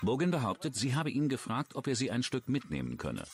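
A man's voice: medium pitch, wildly swooping pitch, fairly quick, a little hiss or hum. A man reading scripted true-crime narration as a documentary narrator.

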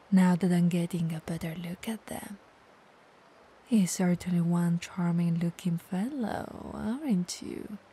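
Breathy, slow-talking teasing vampire woman, acted. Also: lowish voice, mellow, full character voice. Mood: flirty, teasing. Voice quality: breathy, gravelly, often dropping to whispers. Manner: slow, coaxing, playfully condescending. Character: teasing, dominant but caring female vampire. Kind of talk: ASMR audio roleplay, addressed to the listener.

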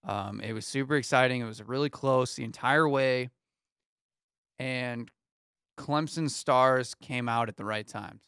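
A man's voice: mid-range voice, unhurried, clear, resonant tone, no room sound. A young man in his twenties co-hosting a casual sports talk show in a small studio, running through game scores with plenty of ums. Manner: runs through scores in a steady rundown, many ums. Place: small studio with foam panels. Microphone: studio mic on arm, headphones.

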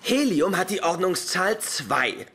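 A man's voice: sits high, sing-song, slow pace, heavily acted. An actor playing a pompous, pedantic male genius nerd in a German sitcom audio play, lecturing with smug precision. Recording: noisy, with clear background ambience.

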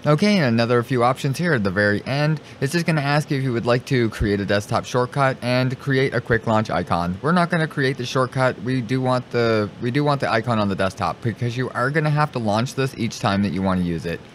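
A man talking through software steps in a casual, informal tutorial style, repeating himself as he narrates each click. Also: mid-range voice, brisk, few pauses. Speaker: streamer giving a software tutorial. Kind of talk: casual step-by-step screen tutorial. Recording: clearly noisy home recording.

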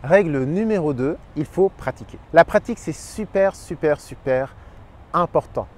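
A man in his thirties who hosts a language channel, explaining his tips to camera in an energetic, expressive way. He is outdoors by a park pond and wears a lapel mic. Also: on the high side, normal speed. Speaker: Spanish teacher and channel host.

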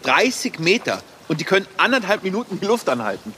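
An actor playing an eager, chatty know-it-all police officer, excitable and lecturing, in a crime drama. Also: male voice, sits high, quick pace, a little hiss or hum, full character voice.

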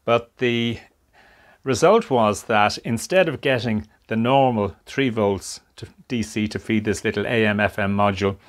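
A man giving unscripted hands-on narration at a workbench, explaining things step by step in a measured way. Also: fairly low pitch. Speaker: hobbyist presenter of a radio restoration. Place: indoor workshop at a workbench.

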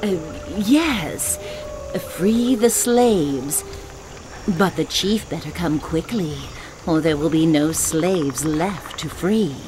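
Low-voiced, slow female orc shaman. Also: background noise. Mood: diplomatic. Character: wise, devout female orc shaman, peace-minded and cunning. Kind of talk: video game cutscene acting. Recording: game music behind the voice.

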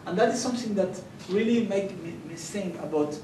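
A young man from Chile with a Chilean Spanish accent, speaking earnestly as a conference panelist and restarting his sentences often. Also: sits high. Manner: earnest, halting, with many fillers and restarts. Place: conference hall. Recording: echoey hall, distant mic, noisy.

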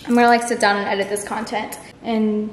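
A woman's voice: medium pitch, little pitch movement, brisk. Young woman vlogging in an echoey room. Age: early 20s. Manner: informal, filler-heavy with frequent "like" and "y'all". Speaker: lifestyle vlogger. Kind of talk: casual vlog chat to camera. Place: large, mostly empty room in a house. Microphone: camera at arm's length or on tripod. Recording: echoey bare room with faint noise.

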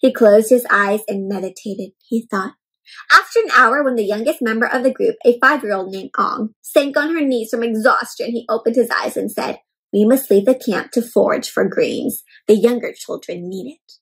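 A young adult woman reading a picture book aloud to children in an expressive, teacherly way, pausing to explain and ask questions. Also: fairly high pitch, husky, poor audio. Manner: expressive, emphatic, teacherly, slowing on key words. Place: indoor room at home.

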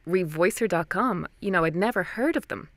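A woman's voice: fairly low pitch, expressive, moderate pace, no echo. A young woman with an Irish accent, a voice actor telling her story conversationally in an interview, close on a studio mic. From Ireland. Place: small padded recording booth. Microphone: close studio microphone, dry.

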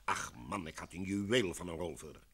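An actor playing a brash, jovial film director in a Dutch radio play, talking bluntly and informally. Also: male voice, sits low, lively intonation, normal speed, a bit bright, husky, heavily acted. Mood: chatty, blunt.

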